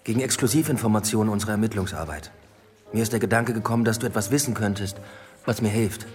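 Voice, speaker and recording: An actor playing an ambitious police inspector in a radio drama, terse, matter-of-fact and probing. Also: male voice, on the low side, quick pace, long reverb tail, natural voice. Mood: pressing, persuasive. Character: driven, ambitious male police detective inspector. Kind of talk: naturalistic German radio drama dialogue. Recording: faint music bed behind the voice.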